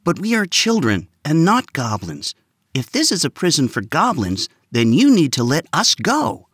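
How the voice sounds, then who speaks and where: An earnest young boy who speaks up boldly and insists on being heard, as a man plays him. His voice lifts well above a man's range and moves with lively rises. The recording is dry and close. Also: lowish voice, measured pace, breathy edge, no echo, exaggerated voice. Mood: insistent. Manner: raised and insistent, confident.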